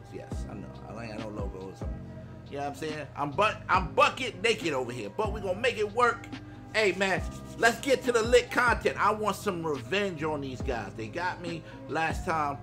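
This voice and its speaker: An American man in his thirties hosting a game stream with excited, slangy commentary and trash talk. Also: on the high side. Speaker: streamer and gaming host. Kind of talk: excited gameplay commentary and banter. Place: indoor streaming setup. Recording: music playing behind the voice.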